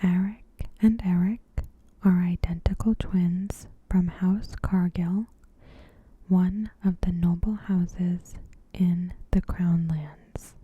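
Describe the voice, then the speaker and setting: A woman softly whispering scripted ASMR commentary in a breathy, gentle and measured way. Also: on the low side, steady tone, dry recording, lo-fi sound. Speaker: ASMR host.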